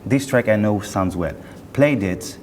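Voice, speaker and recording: A man being interviewed as a company representative, chatting casually in a halting, self-correcting way. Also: on the low side, animated. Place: indoor hi-fi show. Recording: roomy hall, clear background noise.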